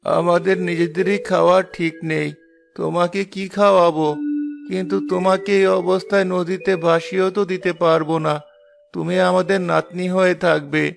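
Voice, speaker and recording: A man's voice: high voice, flat delivery, normal speed, soft background music. A man voicing a poor, weary, kind old village fisherman in a cartoon fairy tale. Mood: kindly. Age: older. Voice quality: creaky, gravelly.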